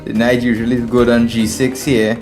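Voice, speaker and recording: A man from Trinidad with a Trinidadian accent streams live game commentary at a middling pitch. He thinks his moves through out loud, often repeating a phrase, and talks to viewers as friends. Faint music plays behind him. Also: flat delivery, fairly quick. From Trinidad and Tobago. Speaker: chess streamer and instructor. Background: background music.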